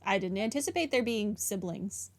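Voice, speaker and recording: A woman's voice: mid-range voice, lively intonation, moderate pace. A woman giving casual, wry playthrough commentary, musing aloud as she plays a video game. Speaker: game streamer. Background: game music.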